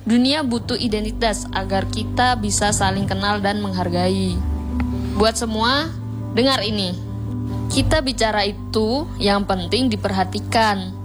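A woman's voice: medium pitch, unhurried, over music, natural voice. An actress playing a caring, principled female lecturer with a Javanese accent who speaks firmly. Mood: encouraging. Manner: firm, lecturing.

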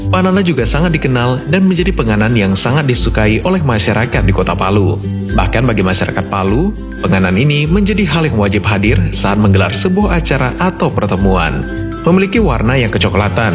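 A man's voice: medium pitch, big pitch swings, a little fast. A man reading a scripted, informative radio feature as narrator. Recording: music bed behind narration.

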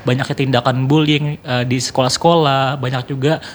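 A man's voice: mid-range voice, flat delivery, fairly quick, slight background noise. A man, giving explanatory, point-by-point answers as a radio interview guest. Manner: explanatory, orderly, enumerating points. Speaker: youth organization board member, radio guest.

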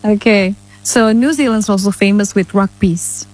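A woman's voice: medium pitch, moderate pace, darkish tone, low-quality recording. A woman hosting a casual radio interview in a studio, chatty, with frequent "uh" and tag questions. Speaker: radio host.

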